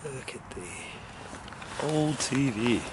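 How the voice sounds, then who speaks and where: A man's voice: mid-range voice, expressive, very slow, noisy background. A man vlogging as he explores an abandoned old house, chatting and joking as he goes, recorded on a handheld camera. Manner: chatty, joking, wondering aloud. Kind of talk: casual exploring commentary. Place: abandoned house, indoors and overgrown yard.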